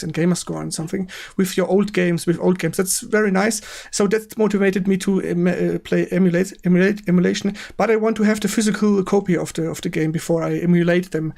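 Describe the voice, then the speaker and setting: A man with a German accent hosting a casual livestream interview in halting non-native English, often repeating words and agreeing quickly. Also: sits high, vocal fry, a little mellow. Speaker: stream host interviewing a game developer. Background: music in the background.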